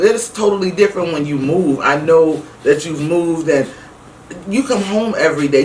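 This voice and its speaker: A middle-aged woman telling a personal story casually to her viewers, emphatic and animated, acting out the dialogue as she goes. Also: sits low. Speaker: vlog host. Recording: roomy, distant mic with faint noise.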